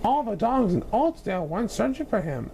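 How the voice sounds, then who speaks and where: A man reading a children's storybook expressively, with dramatic, deliberate phrasing. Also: sits high, very animated, gravelly, mellow, a little hiss or hum. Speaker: story reader.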